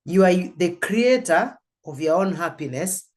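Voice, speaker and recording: A woman's voice: fairly low pitch, expressive, unhurried, darkish tone. A woman from Mombasa whose first language is Swahili, speaking on a church panel about family. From Mombasa, Kenya.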